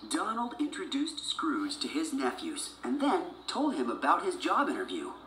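A man's voice: fairly high pitch, wildly swooping pitch, normal speed, echoey room, noisy background. A man narrating a children's storybook from a script.

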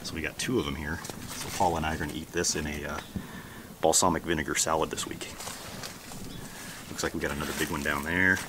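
A middle-aged American man with an exceptionally deep voice talks casually as he shows a garden. His delivery is unhurried and rambling. The audio comes from a handheld camera outdoors, with clear ambient noise behind him. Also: steady tone. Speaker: homesteading vlogger. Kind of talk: casual garden tour vlog. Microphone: camera held at arm's length.

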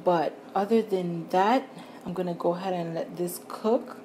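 A woman's voice: on the low side, unhurried, mellow, slight background noise. A young woman chatting straight to her viewers while she cooks in her home kitchen, recorded on a phone. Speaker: vlogger. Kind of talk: casual cooking vlog. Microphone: phone camera.

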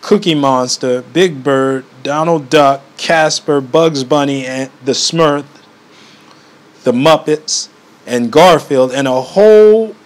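A man's voice: a little high, slow pace. A man reading a book aloud in an amateur way, often stumbling and going back over phrases.

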